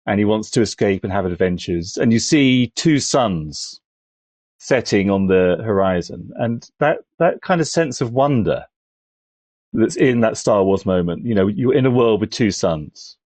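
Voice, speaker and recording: A man talking as a podcast panellist, thoughtful and halting, building his points gradually in conversation. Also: on the low side, animated, darkish tone, no echo. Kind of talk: conversational film discussion.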